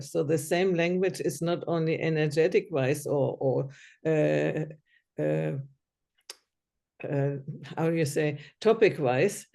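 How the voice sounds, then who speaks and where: A woman's voice: low-pitched, gravelly, dark tone. A woman whose first language is German, chatting casually in a discussion group, hesitant and often searching for words. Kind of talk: casual conversation.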